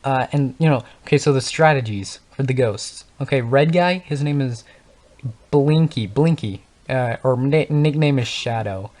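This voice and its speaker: A man's voice: medium pitch, moderate pace, darkish tone. Male gaming host, unscripted, rambling, frequent stutters and restarts. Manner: stutters and stumbles over words; rambling, thinks aloud with many fillers. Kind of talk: unscripted casual talk over gameplay.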